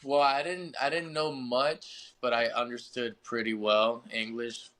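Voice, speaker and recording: A young man from Puerto Rico, a baseball player being interviewed on a podcast, telling his story in a halting way and often repeating words as he searches for the English. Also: mid-range voice, flat delivery, sharp tone. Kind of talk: casual interview.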